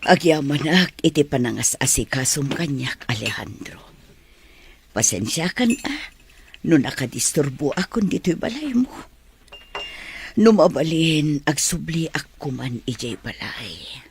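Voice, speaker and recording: A woman playing an ailing, grateful teacher in an Ilocano radio drama, with an Ilocano accent and a husky, weary, apologetic delivery. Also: low voice, expressive, measured pace, a little hiss or hum.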